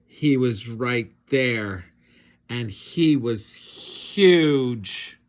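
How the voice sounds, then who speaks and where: A man reading a children's storybook aloud in a dramatic, expressive, suspenseful way. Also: mid-range voice, extremely slow. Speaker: read-aloud teacher narrating.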